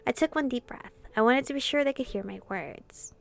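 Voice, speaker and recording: High-voiced, creaky woman reading a visual novel. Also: brisk. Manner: giggly, chatty asides between lines. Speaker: gaming streamer reading a visual novel aloud. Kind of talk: casual let's-play commentary mixed with read-aloud narration.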